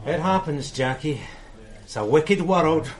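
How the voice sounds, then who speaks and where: An actor playing a blunt, worldly police sergeant who speaks matter-of-factly in a radio drama. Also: male voice, a little high, animated, measured pace.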